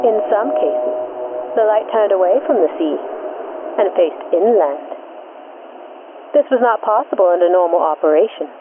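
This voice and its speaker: A woman narrating a scripted mystery in 1940s radio style, measured and deliberate, through an old-time radio filter. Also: mid-range voice, expressive. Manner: measured, deliberate, short suspenseful phrases. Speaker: host and narrator of a mystery show. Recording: tinny band-limited vintage radio, static noise.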